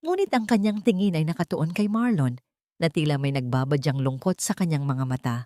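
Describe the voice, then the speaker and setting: A woman's voice: deep voice, expressive, a little fast, warm tone, dry recording, studio quality. A woman narrating a scripted romantic story in an emotive, dramatic way. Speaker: narrator of romantic audio stories.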